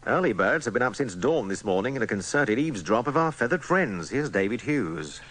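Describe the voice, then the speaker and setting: A man's voice: medium pitch, big pitch swings, a little fast, fairly bright tone. A man presenting a radio news bulletin. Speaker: radio newsreader. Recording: faintly noisy archive radio recording.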